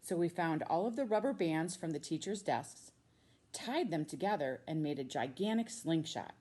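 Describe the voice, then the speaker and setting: Animated American woman reading a children's story. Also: low voice, breathy edge. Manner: expressive, drawn-out emphasis on key words. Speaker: middle school science teacher reading a children's book. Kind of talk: read-aloud storytelling for children.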